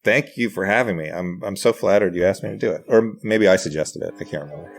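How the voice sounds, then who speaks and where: A man with a notably low-pitched voice speaks as a guest on a podcast. He talks in a casual, conversational way, telling anecdotes as he goes. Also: quick pace, gravelly, faint music behind. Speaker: podcast guest, writer and comedian.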